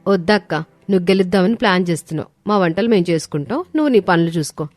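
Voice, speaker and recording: A woman's voice: on the low side, expressive, fast talker, darkish tone, dry recording, close to an everyday voice. A woman voicing a haughty, city-bred young daughter-in-law, boastful and resentful, with a dismissive, complaining manner. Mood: whiny. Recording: studio voice over background music.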